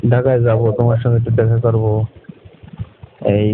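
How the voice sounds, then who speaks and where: A tinny phone line with clear noise carries a man's casual chat. He speaks with a Bangladeshi Bengali accent at an ordinary male pitch. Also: completely flat delivery, moderate pace. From Jessore, Bangladesh. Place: phone call.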